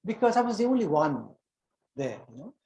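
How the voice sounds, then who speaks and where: A man's voice: high-pitched, sing-song, brisk, mellow, slight background noise, rough recording. A Malaysian man in his sixties, a doctor giving an anecdotal conference talk with frequent repeats and restarts. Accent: Malaysian English. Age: 60s. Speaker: doctor and alumni conference speaker.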